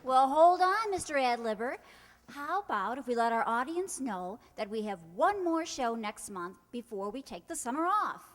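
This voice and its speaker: Female co-host, fairly high voice, playfully chiding announcer banter, reverberant hall. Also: very animated, moderate pace. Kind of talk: scripted live stage announcement banter. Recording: echoey hall.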